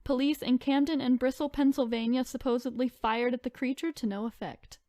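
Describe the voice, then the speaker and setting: A woman's voice: sits high, fairly even intonation, brisk, dark tone, no echo, studio quality. A woman co-hosting a podcast in casual chat, informal and chatty, sometimes reading out descriptions with asides. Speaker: podcast co-host.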